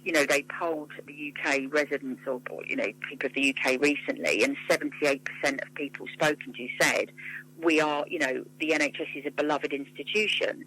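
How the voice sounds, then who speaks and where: A woman's voice: deep voice, fairly even intonation, a little fast, a bit bright, breathy edge, poor audio. A woman with an English accent speaking passionately and emphatically as a guest in a radio interview. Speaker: interview guest, mental health charity founder and psychotherapist.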